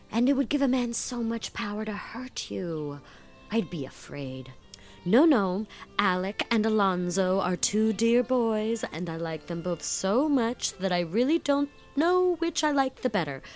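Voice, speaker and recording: A woman's voice: medium pitch, darkish tone. A woman voicing a chattering, fun-loving girl of eighteen in a dramatized audiobook, gushing and playfully dramatic. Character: giddy, flighty 18-year-old girl, fond of fun.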